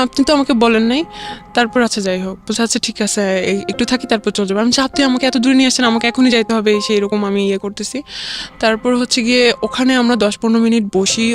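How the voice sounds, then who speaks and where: A young woman with a Bangladeshi accent tells a personal story in a casual, conversational way, often acting out quoted dialogue. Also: a little high. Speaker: guest telling a personal life story.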